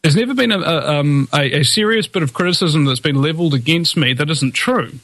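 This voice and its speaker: A man's voice: on the high side, animated, brisk, fairly bright tone. A man hosting his own show, ranting defiantly in a solo monologue full of fillers and restarts, with a somewhat whiny voice. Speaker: podcast host.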